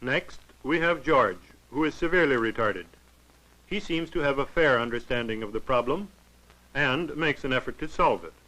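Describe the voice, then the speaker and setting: A man narrating a 1940s educational film in a formal, clinical, measured way. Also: mid-range voice, bright, forceful tone, poor audio.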